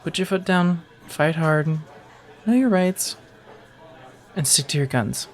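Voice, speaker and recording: A woman's voice: on the low side, expressive, takes it slowly. A woman playing a friendly, teasing firefighter on a date in an intimate, casual ASMR romance roleplay, chatty and reassuring. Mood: supportive, firm. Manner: chatty, playfully wry, reassuring, sprinkled with soft laughs.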